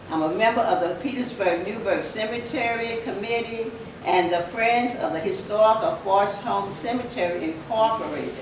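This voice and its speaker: A woman speaking as a society president, giving a formal, measured address at a ceremony. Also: mid-range voice. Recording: echoey hall from far off, noisy.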